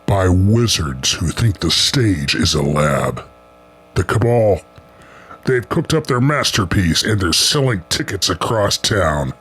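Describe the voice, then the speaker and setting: A man playing a grim, world-weary dragon detective, terse and deadpan, in a noir radio play. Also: deep voice, slow pace, soft background music, exaggerated voice. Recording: echoey, noisy background.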